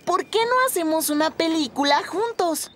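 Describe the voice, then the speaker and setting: A woman voicing a cocky, scheming young boy in an animated cartoon dub. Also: very high voice, normal speed.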